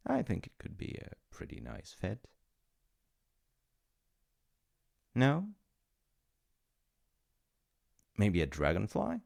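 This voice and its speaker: A man's voice: lowish voice, wildly swooping pitch, moderate pace, vocal fry, darkish tone, heavily acted. A man playing an awkward, chatty demigod of reincarnation in a comedic audio roleplay, stammering and apologetic as he talks to the listener. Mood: coaxing. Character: flustered, chatty male demigod in charge of reincarnation.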